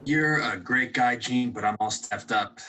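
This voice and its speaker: A man playing a polite, brisk TV showrunner in a screenplay table read. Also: medium pitch, bright, forceful tone, a little hiss or hum. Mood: politely dismissive. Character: polite, brisk male TV showrunner turning someone down.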